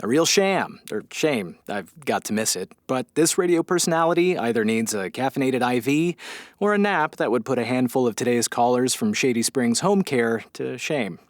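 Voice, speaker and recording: An actor playing a weary, sarcastic small-town radio host with a halting, dry delivery in a comedy audio drama. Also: male voice, mid-range voice, expressive, dry recording, close to an everyday voice. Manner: halting, dry, sardonic, awkward.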